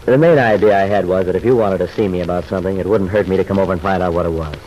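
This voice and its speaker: An actor playing an awkward, mild-mannered young ex-husband in an old-time radio soap opera, hesitant and plain-spoken. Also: male voice, low-pitched, fairly even intonation, racing, darkish tone, highs cut off, lightly acted. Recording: faintly noisy vintage radio recording.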